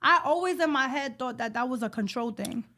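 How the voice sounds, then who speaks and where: A woman's voice: high-pitched, expressive, fairly quick, sharp tone. A woman in her late thirties, a podcast guest talking openly in casual chat and leaning on filler phrases. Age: 30s. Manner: chatty, open, lots of filler phrases.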